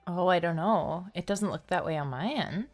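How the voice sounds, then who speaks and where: A woman's voice: fairly low pitch, moderate pace, darkish tone, faint music behind. A woman livestreaming a game, chatting casually and reacting to it. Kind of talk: casual stream chat and reactions. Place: indoor livestream setup, music behind.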